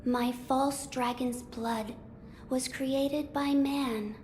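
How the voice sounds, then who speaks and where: A woman voicing a solemn, serene young holy girl in a video game, measured and formal. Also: sits high, steady tone, darkish tone, slight background noise. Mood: solemn, sorrowful. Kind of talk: video game cutscene acting.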